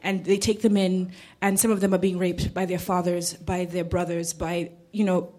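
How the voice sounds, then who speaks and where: Halting, earnest woman from Kenya. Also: fairly low pitch, little pitch movement, mellow. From Kenya, Nairobi. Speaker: audience member, conflict studies student. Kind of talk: audience comment at a public panel.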